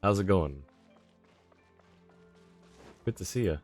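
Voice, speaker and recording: A man's voice: deep voice, animated, slow, a little mellow, dry recording, faint music behind. A man chatting casually on a game livestream, easygoing and polite, thinking aloud as he replies to viewers. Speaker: game streamer.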